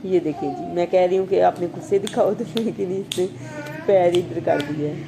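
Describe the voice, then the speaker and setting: A woman from Pakistan with a Pakistani Urdu accent, vlogging her daily routine at home, chatting softly with a hoarse voice from a sore throat. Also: fairly low pitch, normal speed, background noise. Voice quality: soft, chatty, full of blessings and "ji".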